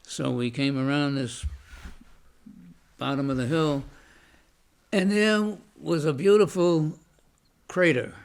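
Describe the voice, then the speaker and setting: Gravelly older man, halting and slow. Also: a little high. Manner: halting, many restarts and fillers; says he has a loud voice. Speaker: veteran interviewee. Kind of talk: oral history recollection.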